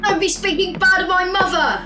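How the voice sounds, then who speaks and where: A woman's voice: extremely high pitch, steady tone, normal speed, recorded from a distance, music in the background. A woman playing an earnest, wounded young orphan boy in an audio drama, plaintive and polite. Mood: defiant.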